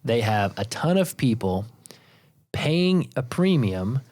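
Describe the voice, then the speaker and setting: A man's voice: mid-range voice, sing-song, takes it slowly, darkish tone, no room sound. A man co-hosting a podcast in casual chat, explaining ideas step by step and teasing his co-host. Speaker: podcast co-host, insurance agency leader.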